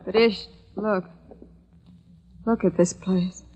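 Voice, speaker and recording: A woman's voice: medium pitch, fairly even intonation, takes it slowly, dark tone, slightly rough, highs cut off. A woman acting an anxious young wife and mother in an old time radio drama, earnest and theatrical. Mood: uneasy wonder. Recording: noisy, crackly vintage radio recording.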